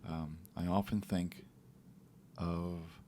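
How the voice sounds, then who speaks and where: A man co-hosting a podcast, talking in a relaxed, reflective way about faith and daily life, sometimes acting out little quotes. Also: deep voice, extremely slow, slight background noise. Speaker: podcast co-host. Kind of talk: casual faith talk.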